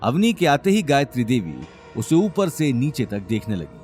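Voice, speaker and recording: A man's voice: mid-range voice, sing-song, moderate pace, a little mellow, soft background music. A man narrating a scripted story in a dramatic style.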